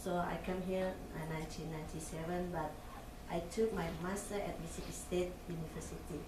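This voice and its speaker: A woman's voice: fairly low pitch, fairly even intonation, fairly quick, distant mic. A woman, introducing herself at a council meeting in simple, friendly phrasing. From Indonesia. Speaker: college staff member speaking at public comment. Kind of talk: informal public introduction. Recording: echoey room picked up from afar, clear noise.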